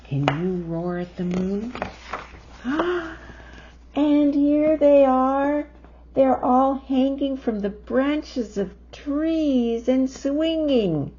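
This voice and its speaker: A woman reading a picture book aloud to children in an expressive, drawn-out storytelling manner, full of wonder. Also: fairly high pitch. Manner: expressive, drawn-out phrasing, gasps of wonder and questions to young listeners. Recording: dull lo-fi sound with faint noise.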